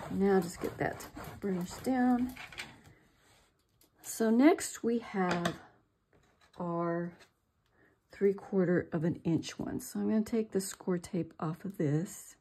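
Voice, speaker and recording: A woman's voice: lowish voice, warm tone, a little hiss or hum. An older woman giving a chatty, step-by-step craft demonstration at her work table. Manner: slow, chatty, self-deprecating. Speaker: craft tutorial host.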